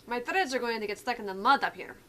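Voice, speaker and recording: Visual novel tank girl voiced by a woman streamer: perky, bashful, highish animated voice, faint steady noise on the recording. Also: fast talker. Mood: shy, sweet. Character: eager girlish tank character, shy but teasing classmate.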